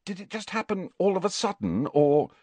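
A man reading an audiobook voices a calm, sensible teenage girl witch. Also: high-pitched, normal speed, no echo, voice pushed up. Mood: gentle.

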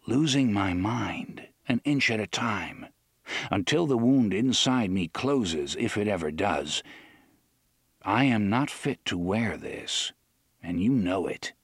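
A man voicing a weary older gunslinger who speaks slowly, calmly and gravely. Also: sits low, dry recording, studio quality, voice pushed down, heavily acted. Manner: slow, calm, grave.

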